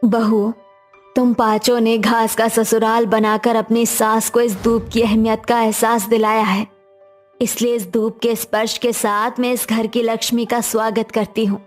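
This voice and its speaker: A loving, emotional older mother-in-law from a poor cartoon family, voiced by a woman, talks in a fairly high voice. Music plays behind her. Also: flat delivery, a little slow, lightly acted. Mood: welcoming. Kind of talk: emotional cartoon dialogue acting.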